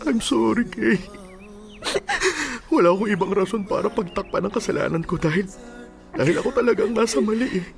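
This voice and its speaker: An actor with a Filipino accent playing a remorseful man, halting and earnest, pleading for forgiveness in an emotional radio drama. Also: high-pitched, gravelly. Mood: tearful pleading. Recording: music under the dialogue. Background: music.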